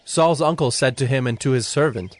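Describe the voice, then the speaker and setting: A man narrating scripture from a script as a solemn, measured storyteller. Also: mid-range voice, no echo.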